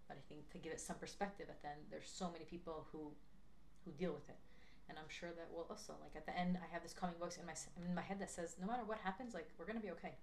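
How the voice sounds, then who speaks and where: A young woman co-hosting a podcast in casual chat, thinking out loud in an earnest way and often saying "like". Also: sits low, a little hiss or hum. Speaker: podcast co-host.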